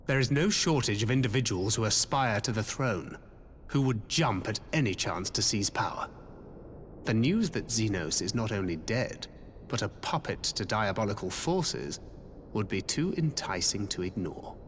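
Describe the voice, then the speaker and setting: A man playing a composed, articulate strategist in a fantasy video game, speaking formally and with measured phrasing. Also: on the low side, expressive, lightly acted. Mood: composed, calculating. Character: composed male strategist laying out covert war plans. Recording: long hall reverb, faint background noise.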